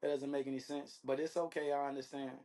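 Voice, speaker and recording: A man giving casual answers as an interview guest. His mid-pitched voice stays very even, and he talks in loose slang with frequent check-in phrases. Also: fast. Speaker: interview guest, reality dating show contestant.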